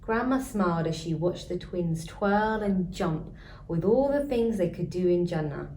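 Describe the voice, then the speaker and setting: Mellow, low-voiced woman reading a storybook. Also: animated, measured pace. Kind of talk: children's storybook narration. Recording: roomy echo, faint background noise.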